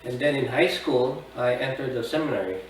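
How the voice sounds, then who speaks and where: A man in his fifties from the Philippines, recalling his life at an easy pace in a plain, matter-of-fact interview. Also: mid-range voice. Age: 50s. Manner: unhurried, plain-spoken recollection with fillers. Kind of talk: oral history interview. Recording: echoey room, distant mic, faint noise.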